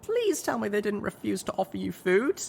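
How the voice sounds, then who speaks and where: A man voicing an earnest, apologetic young pony heroine with a halting manner in a story reading. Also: high-pitched, very animated, vocal fry, a little hiss or hum, pitched above the performer's own voice, full character voice.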